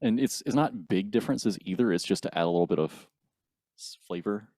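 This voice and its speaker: Young male musician, early twenties, very low register, explanatory casual interview talk, dry room. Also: fairly quick, a little mellow, no echo. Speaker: band bassist, guest.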